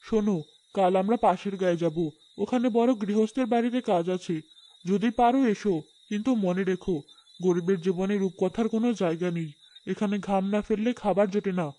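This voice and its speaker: A woman's voice: medium pitch, fairly quick, dark tone, voice pushed down, lightly acted. A woman playing a stern but caring, hard-working poor village elder sister in a cartoon, firm and practical. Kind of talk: cartoon fairy-tale dialogue.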